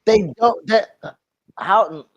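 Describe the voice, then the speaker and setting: A man co-hosting a casual podcast chat, talking in a halting way and repeating himself as he searches for words. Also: high voice, a crowd in the background. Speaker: podcast co-host.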